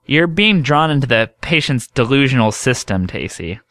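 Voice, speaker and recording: A man's voice: mid-range voice, very animated, normal speed, fairly bright tone, no echo. A man doing a pompous, skeptical doctor. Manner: pompous, dismissive.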